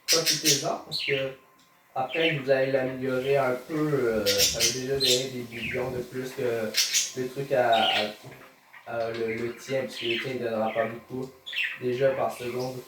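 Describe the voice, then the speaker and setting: A man's voice: medium pitch, little pitch movement, normal speed, very noisy. A Canadian man with a Québécois accent, commenting casually on a game he is playing. He talks hesitantly, stammering and using many fillers. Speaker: gaming YouTuber. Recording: roomy echo, distant mic, music behind.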